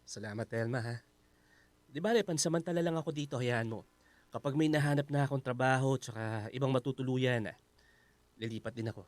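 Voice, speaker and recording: A man acting as a polite, secretive houseguest with a Filipino accent, speaking haltingly in a radio drama. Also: medium pitch, no room sound, natural voice. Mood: grateful. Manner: hesitant, halting, humble.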